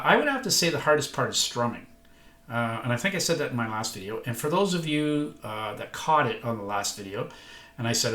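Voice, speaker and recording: A man's voice: medium pitch, fairly bright tone. Older man, rambling, in an echoey room. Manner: rambling, self-deprecating, folksy. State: congested, recovering from illness. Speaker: vlogger learning guitar. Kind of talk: casual vlog chat. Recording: echoey room, guitar playing.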